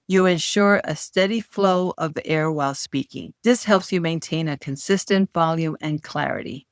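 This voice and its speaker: A woman speaks straight into a close mic, her voice smooth and resonant. The room is dry and dead, with no echo. She gives plain, direct instructions like a teacher. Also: deep voice. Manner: plain, direct advice-giving. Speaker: host and voice-care instructor. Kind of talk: instructional video talk.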